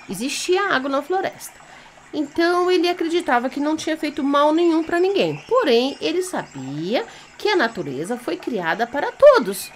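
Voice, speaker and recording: High-pitched, animated Brazilian woman storyteller. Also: slight background noise. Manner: theatrical, didactic, with check-in questions to young listeners. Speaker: children's storyteller and party entertainer. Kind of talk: improvised children's storytelling.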